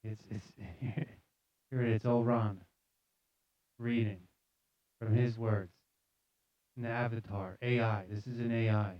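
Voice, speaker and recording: A man's voice: on the low side, fairly even intonation, slow, long pauses. A man hosting a talk show with casual, loosely improvised, hesitant commentary. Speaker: show host. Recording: dull, roomy sound.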